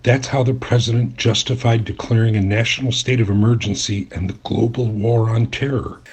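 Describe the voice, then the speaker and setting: An actor playing an earnest, troubled journalist in an audio drama, speaking deliberately. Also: male voice, medium pitch, steady tone, darkish tone, husky. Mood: questioning, dismayed. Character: earnest, troubled male journalist, loyal friend. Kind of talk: audio drama acting and narration.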